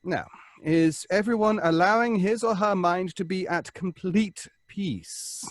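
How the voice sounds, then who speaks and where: An actor playing an earnest, pedantic professor leading a seance in a radio drama, speaking precisely with hesitant ums. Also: male voice, high-pitched, unhurried. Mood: earnest, commanding. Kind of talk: old-fashioned radio drama acting.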